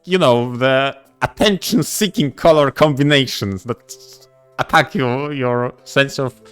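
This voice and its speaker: A man talking casually as a podcast co-host, a little halting, with emphatic repeated phrasing. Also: mid-range voice, fairly bright tone, clean tone, soft background music.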